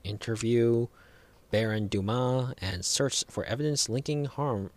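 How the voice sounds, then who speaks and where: A man giving casual video game commentary in a halting way, repeating himself and trailing off. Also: mid-range voice. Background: game music.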